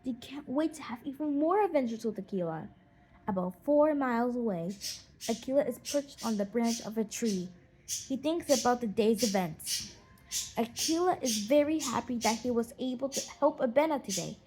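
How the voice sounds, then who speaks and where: High-voiced woman reading a children's story. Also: expressive, normal speed, a little mellow, slight background noise, low-quality recording. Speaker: audiobook narrator.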